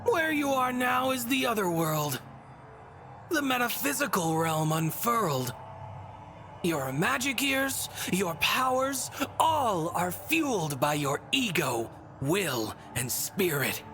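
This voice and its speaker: An actress playing a whimsical, bored god in a video game, speaking in theatrical, teasing rhymed riddles. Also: female voice, fairly low pitch, a bit bright, full character voice. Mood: cryptic, instructing. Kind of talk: video game cutscene voice acting. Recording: music under the voice.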